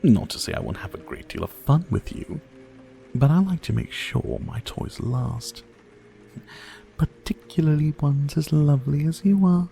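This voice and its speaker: A man playing a centuries-old, sadistic vampire lord in a scripted ASMR roleplay, speaking close in a silky, theatrical, taunting way that often drops to breathy whispers. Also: fairly high pitch, expressive, unhurried, mellow, a little hiss or hum. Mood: smug, coaxing. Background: faint music.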